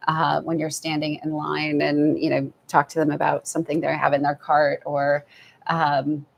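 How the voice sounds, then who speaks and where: A soft-spoken woman speaking as an author guest at a live event, thoughtful and prone to restarts. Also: low-pitched, creaky. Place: event venue with audience.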